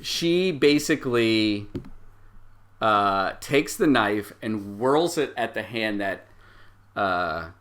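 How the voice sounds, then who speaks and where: Bright-voiced male game master. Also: on the low side, expressive. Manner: halting, thinking aloud. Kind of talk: improvised tabletop game narration.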